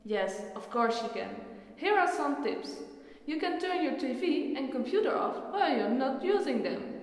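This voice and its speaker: A woman's voice: sits high, big pitch swings, moderate pace. A woman playing a knowledgeable, earnest friend who patiently explains things in a scripted educational film dialogue. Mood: encouraging, instructive. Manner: explanatory, instructive. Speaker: character in a student educational film. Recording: very echoey hall-like reverberant room.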